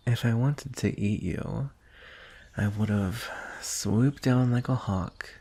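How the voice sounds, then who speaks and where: A man's voice: lowish voice, gravelly, breathy edge, full character voice. A man voicing a teasing, coaxing dragon with a smug streak of menace, in a fantasy ASMR roleplay. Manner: slow, coaxing, softly threatening.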